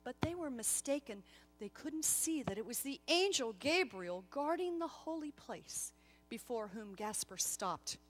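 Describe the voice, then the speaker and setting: Female storyteller, higher-pitched voice, expressive and deliberate dramatic narration. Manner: expressive, deliberate, addresses the listener directly.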